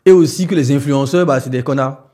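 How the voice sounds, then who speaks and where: Animateur masculin de podcast, voix voilée et douce. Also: male voice, mid-range voice, brisk. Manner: relaxed, slangy, frequent fillers. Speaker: podcast host, business content creator. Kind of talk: casual podcast conversation.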